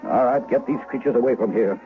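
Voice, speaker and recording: An actor playing a stern, jealous, commanding Duke in an old-time radio drama, formal and curt. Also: male voice, mid-range voice, fast, close to an everyday voice. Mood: angry, commanding. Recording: muffled vintage 1970s radio broadcast.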